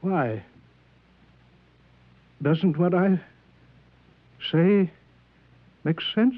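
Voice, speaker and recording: A man's voice: sits high, extremely slow, no room sound, highs cut off, heavily acted. An actor playing a scheming, menacing male demagogue in 1950s radio drama style, insinuating and insistent. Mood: urgent, fervent. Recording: thin, dry old radio broadcast recording.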